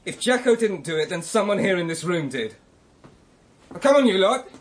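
An actor in a radio drama playing a bitter, mocking adopted son who talks in a blunt, sardonic, goading way. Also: male voice, high voice, very animated, moderate pace, breathy edge, full character voice. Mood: taunting. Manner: sardonic, colloquial, challenging.

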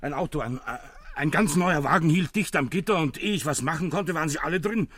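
An actor playing a flustered, dutiful gendarme, agitated and stammering, in a 1960s radio play. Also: male voice, a little high, brisk, fairly bright tone, no echo. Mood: breathless alarm.

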